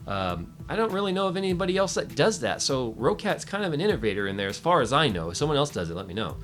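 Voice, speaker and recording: A man's voice: medium pitch, big pitch swings, fast talker, fairly bright tone, faint music behind. A man giving a casual, opinionated, conversational product review as host.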